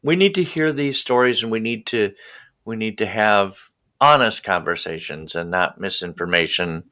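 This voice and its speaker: A man hosting a podcast, talking in an earnest, halting way and often repeating his words. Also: fairly low pitch, bright, forceful tone. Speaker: podcast host. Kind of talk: conversational interview.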